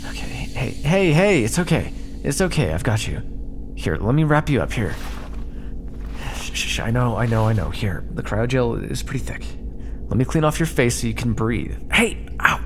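A man voicing a stranded, sarcastic starship commander who swears often and turns gentle when comforting someone, in an ASMR roleplay. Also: medium pitch, sing-song, normal speed, background noise, lightly acted. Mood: soothing. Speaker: voice actor in an audio roleplay. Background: music.

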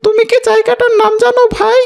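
Squeaky, creaky little cartoon boy. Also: little pitch movement, fast, a little mellow, heavily acted. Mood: curious, eager. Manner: eager, questioning. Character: eager, curious little boy in an Islamic cartoon.

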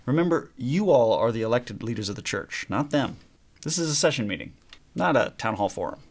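An actor in his thirties playing a calm young pastor in a training film, explaining in a measured way. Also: mid-range voice. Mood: instructive. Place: small meeting room.

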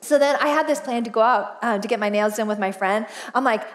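Woman pastor, 40s, high bright register, animated storyteller with many fillers, headset mic in a church auditorium. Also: fast. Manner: chatty and animated, laughs often, lots of fillers. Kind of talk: casual storytelling sermon. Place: church stage, large indoor auditorium.

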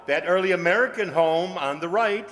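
An older man playing a showy tour bus driver in an old-time radio comedy, declamatory and deliberate, on a hall stage. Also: high-pitched, fairly bright tone. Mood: showy, announcing. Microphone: stand microphone. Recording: echoey hall stage performance.

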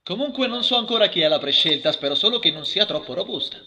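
Jokey male awards presenter played by a man, high-pitched, very sharp bright delivery, film-dub showmanship. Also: lively intonation, fast talker, full character voice. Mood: playful. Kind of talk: amateur film dubbing, stage presenting.

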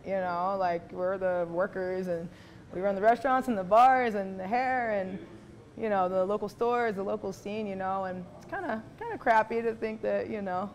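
A woman's voice: medium pitch, darkish tone, rough recording. A woman in her thirties speaking earnestly in a casual interview, often checking in with the listener. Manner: earnest, seeks agreement with "you know". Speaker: local business owner. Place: salon or barbershop. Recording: faint room noise behind.

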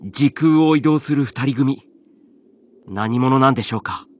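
A man's voice: medium pitch, measured pace, lightly acted. A man playing a polite, earnest young warrior in a Japanese anime game, formal and respectful, easily flustered. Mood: earnest, puzzled. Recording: music behind the voice.

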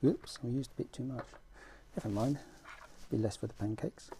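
A middle-aged man with a British accent hosting an informal homemade how-to vlog, talking in a halting, self-deprecating way as he works. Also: mid-range voice, noisy background. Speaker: fishing vlog host. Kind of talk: casual how-to demonstration. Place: small room at home, indoors.

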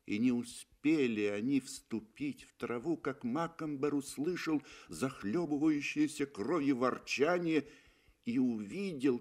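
A man reading literary fiction as an actor in a mid-century Soviet radio play, theatrical and deliberate, with weighty pauses. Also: medium pitch, fairly bright tone.

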